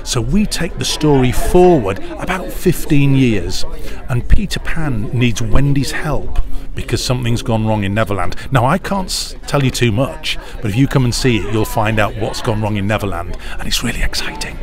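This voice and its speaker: A man's voice: medium pitch, animated, moderate pace, crowd noise. A middle-aged British man giving interview answers in a chatty, enthusiastic storytelling way. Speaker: pantomime producer, interviewee. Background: music clearly audible.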